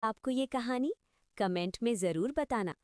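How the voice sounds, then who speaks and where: A woman's voice: a little high, lively intonation, measured pace, darkish tone, smooth, no room sound, studio quality. A woman channel host reading a scripted sign-off to her viewers.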